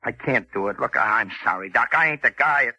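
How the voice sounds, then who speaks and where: An actor playing a rough but loyal professional killer with slangy, halting talk in an old radio drama. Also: male voice, medium pitch, expressive, sharp tone, no room sound, heavily acted. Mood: troubled refusal. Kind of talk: 1940s radio acting.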